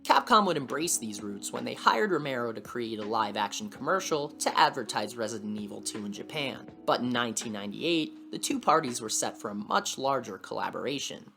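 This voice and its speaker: A woman's voice: extremely low pitch, animated, brisk, creaky, few pauses, fairly bright tone, soft background music. A woman hosting a video series, reading scripted video essay narration with wry asides. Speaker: host of a video series on lost media.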